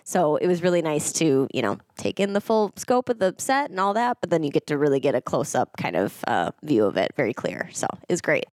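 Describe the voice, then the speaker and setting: A woman's voice: sits low, very animated, fairly quick, hardly any pauses, darkish tone, dry recording, studio quality. A woman co-hosting a podcast, chatting casually in an easygoing, loosely worded manner. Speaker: podcast co-host. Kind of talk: casual chat.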